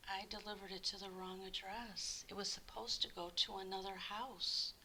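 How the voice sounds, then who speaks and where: Woman voicing a hushed, hesitant, worried postman. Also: medium pitch, level tone, poor audio. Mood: anxious, apologetic. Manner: halting, hesitant, subdued. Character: worried, sheepish postman owning up to a mistake.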